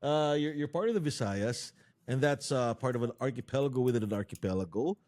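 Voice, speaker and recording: A man's voice: mid-range voice, lively intonation, fairly quick, a little mellow. A man hosting a podcast in casual interview chat. He jokes, laughs often, and talks with many fillers and restarts. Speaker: podcast host.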